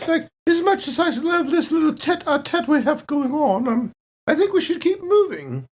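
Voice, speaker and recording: A woman playing a suspicious, smooth-talking female space station agent with arch, hesitant politeness in a tabletop game. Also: high-pitched, big pitch swings, normal speed, a little mellow. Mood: arch, coy. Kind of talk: improvised tabletop roleplay.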